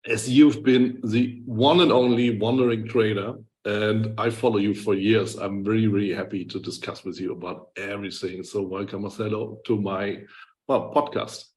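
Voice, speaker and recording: A man's voice: lowish voice, steady tone, normal speed, a little mellow, rough recording. A man hosting a podcast interview in a conversational style, repeating words as he forms his thoughts. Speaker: podcast host.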